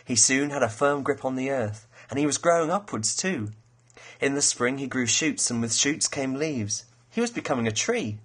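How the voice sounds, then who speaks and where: A man's voice: mid-range voice, lively intonation, moderate pace, fairly bright tone, breathy edge. A man, an author reading his children's fairy tale as a storyteller.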